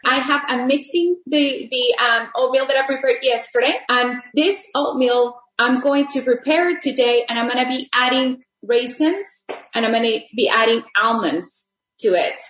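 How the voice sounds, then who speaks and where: A middle-aged woman, a Spanish native speaker, talks through a cooking lesson in a friendly, rambling way, full of ums and uhs. Her voice sits a little high. The room around her rings with noticeable echo. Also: moderate pace, fairly bright tone, a little hiss or hum. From Texas border region. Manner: friendly, chatty, many fillers and restarts. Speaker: rehabilitation teacher, guest. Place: home kitchen.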